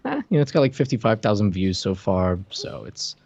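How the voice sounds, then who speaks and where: Guy in his 30s podcasting, lively and playful, trips over repeats, slightly muffled sound. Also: fairly low pitch, fairly quick, vocal fry, no room sound. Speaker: podcast co-host. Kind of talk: casual chat. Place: room at home, on a video call. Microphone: desk microphone up close. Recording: dull, close dry mic.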